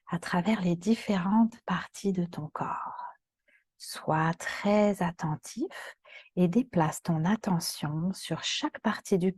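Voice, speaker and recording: A woman yoga teacher guiding a relaxation meditation in a calm, soothing, soft voice that often turns breathy. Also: on the low side, no echo, clean studio sound. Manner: calm, soothing, gentle instructions with long pauses.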